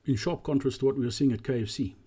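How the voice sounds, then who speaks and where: A man narrating a walkthrough presentation in a measured, explanatory way. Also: mid-range voice, mellow, breathy edge.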